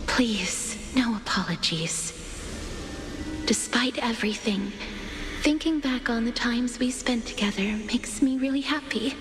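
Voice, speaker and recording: Game role: woman as guarded gentle maiden, breathy, highish, slow, in a reverberant hall with music. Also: noisy background, close to an everyday voice. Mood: tender. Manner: soft, breathy, hesitant. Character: quiet, reserved young woman with dangerous power. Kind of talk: video game voice acting.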